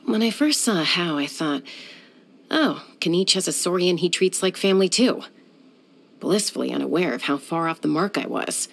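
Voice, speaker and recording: An actress voicing a cool, confident young woman who is a sky-hunter and peacemaker in a video game, speaking in a calm, composed, measured way. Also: fairly low pitch, vocal fry. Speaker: game character.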